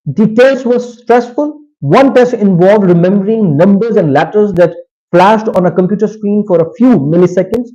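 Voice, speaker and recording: Pakistani male presenter, Potohari and Urdu native, higher-pitched mellow voice, halting informal lecture delivery, dull recording. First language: Potohari Punjabi and Urdu. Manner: halting, hesitant second-language English with self-corrections. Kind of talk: informal lecture, partly reading research aloud.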